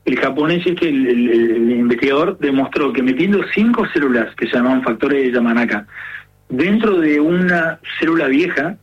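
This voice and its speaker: Husky Argentine gerontologist on radio. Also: male voice, mid-range voice, animated, moderate pace, lo-fi sound. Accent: Argentine Spanish. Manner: earnest, explaining step by step with many fillers. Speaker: gerontologist guest. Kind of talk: explanatory radio interview.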